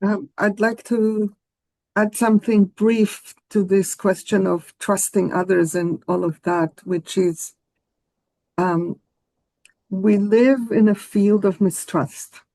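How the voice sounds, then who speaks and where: A woman whose first language is Hebrew, speaking slowly and deliberately as a panelist in a discussion. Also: mid-range voice, steady tone, warm tone, no room sound, poor audio. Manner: slow, deliberate, earnest.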